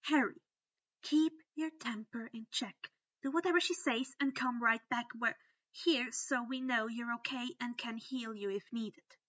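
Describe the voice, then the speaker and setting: A woman's voice: a little high, unhurried, a bit bright, lo-fi sound. A woman voicing a fierce, worried teenage witch girl in a storybook reading, emphatic and indignant. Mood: worried, bossy.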